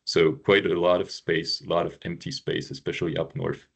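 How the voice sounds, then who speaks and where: A man's voice: deep voice, little pitch movement, moderate pace, vocal fry, fairly bright tone, slightly rough. A man from Quebec with a French accent, giving an informative webinar presentation with frequent ums and uhs. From Quebec, Canada. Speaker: trade consultant presenting.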